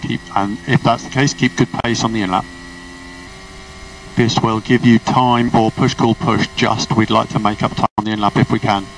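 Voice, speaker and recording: A man's voice: fairly low pitch, flat delivery, moderate pace, gravelly. Man race engineer on noisy team radio. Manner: clipped, procedural, instructive. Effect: team radio transmission. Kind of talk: motorsport team radio instructions. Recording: noisy distant radio, car and engine noise.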